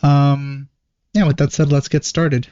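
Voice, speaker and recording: Mellow, dry-sounding man, hesitant and low-key. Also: little pitch movement, unhurried, no room sound, muffled recording. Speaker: reaction video host. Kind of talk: casual reaction commentary. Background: game trailer music.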